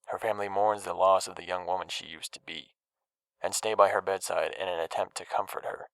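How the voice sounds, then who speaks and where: A man's voice: low voice, steady tone, fairly quick, gravelly, fairly bright tone, clear, resonant tone, no echo, rough recording. A man voicing a television news reporter, delivering a formal broadcast bulletin.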